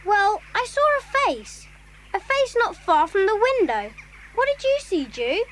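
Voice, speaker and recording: A woman's voice: very high-pitched, very animated, a little slow, a little hiss or hum, heavily acted. A woman playing a plucky, earnest young schoolboy adventurer in a children's audio drama. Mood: urgent.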